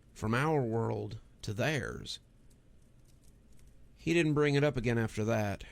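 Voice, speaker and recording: Gravelly man narrating horror. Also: mid-range voice. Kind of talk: scripted first-person horror narration.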